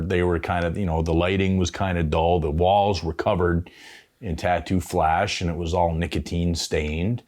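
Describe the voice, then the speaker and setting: A Canadian man in his fifties telling stories casually as an interview guest, in a halting, thoughtful way. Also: low voice, a little mellow. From Ontario, Canada. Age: 50s. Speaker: veteran tattoo artist, interview guest. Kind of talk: casual reminiscing, storytelling.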